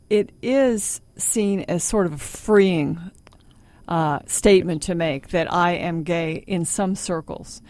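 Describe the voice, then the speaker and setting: Low-voiced woman radio host. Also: darkish tone, dry recording. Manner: measured, leading questions. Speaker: radio talk show host.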